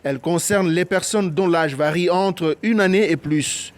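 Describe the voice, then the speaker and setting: A man delivering a steady, factual news report. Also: high-pitched, unhurried.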